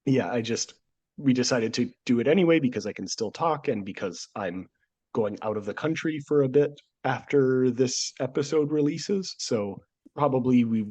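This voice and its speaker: A man's voice: mid-range voice, a little mellow, slightly rough. A man hosting a casual history podcast, talking through a cold that nearly took his voice, joking and laughing often. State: nearly lost voice, sick. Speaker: podcast host. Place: home studio, remote.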